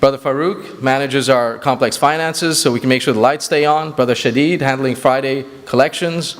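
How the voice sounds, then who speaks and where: Man, grew up in Sri Lanka, earnest speech at a community banquet, mid-pitched, long hall reverb. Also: normal speed. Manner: earnest, with frequent fillers and restarts. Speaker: executive director.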